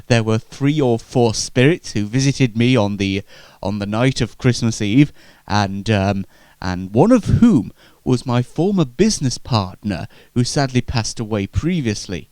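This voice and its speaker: A man's voice: mid-range voice, animated, a little slow, a little mellow, no echo, pitched below the performer's own voice, close to an everyday voice. A man voicing an elderly reformed Victorian miser in a comedy sketch, formal and hesitant, sometimes testy. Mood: earnest.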